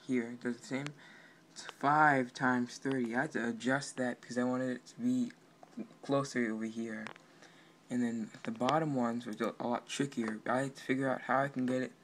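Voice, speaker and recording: A man giving a casual, halting, offhand walkthrough of his project, recorded indoors on a handheld camera. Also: medium pitch, fairly even intonation, a bit bright. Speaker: amateur game developer. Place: indoors at a computer. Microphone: handheld camera pointed at monitor. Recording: noisy amateur room recording.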